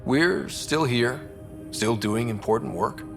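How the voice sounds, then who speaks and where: An actor playing a young, principled and wary space explorer who leads a team in a video game, speaking plainly but with resolve. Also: male voice, medium pitch, slow, gravelly, music in the background. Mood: earnest. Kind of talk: video game character acting.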